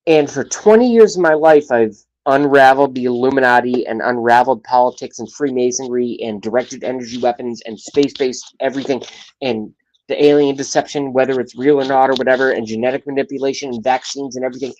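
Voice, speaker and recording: A man in his late thirties holds court on a casual livestream. His middle-pitched voice rambles from one thought to the next, loaded with profanity. His loudness jumps up and drops away as he talks. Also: fairly even intonation, brisk, dull audio, a little hiss or hum. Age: 30s. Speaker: livestream show host.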